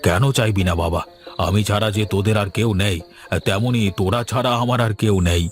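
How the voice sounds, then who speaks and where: A man playing a gentle, henpecked village father in a fairy-tale cartoon, speaking in a mild, placating, weary way. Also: fairly low pitch, a little fast, faint music behind, natural voice. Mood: weary tenderness.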